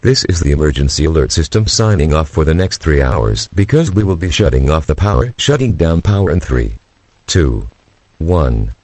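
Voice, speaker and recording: A man reading out an emergency broadcast in a flat, monotone way. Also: very deep voice, normal speed, husky, no room sound. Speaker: emergency alert announcer.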